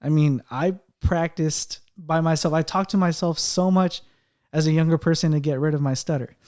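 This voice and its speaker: A man with a fairly high voice and a slight stutter, hosting a podcast in casual conversation. He talks animatedly, with frequent restarts, and slips into other people's voices to make his point. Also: steady tone, moderate pace, darkish tone. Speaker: podcast host. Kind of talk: casual chat.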